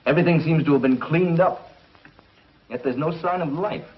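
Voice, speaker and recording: An actor playing a grave, commanding scientist in a 1950s television serial. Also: male voice, on the high side, moderate pace, natural voice. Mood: concerned. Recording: muffled 1950s TV soundtrack, faint noise.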